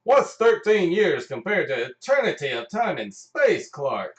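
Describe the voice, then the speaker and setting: A man playing an excitable, wistful old space captain in an amateur comic radio play. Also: on the high side, unhurried, dull audio, pitched above the performer's own voice. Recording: roomy, mic at a distance.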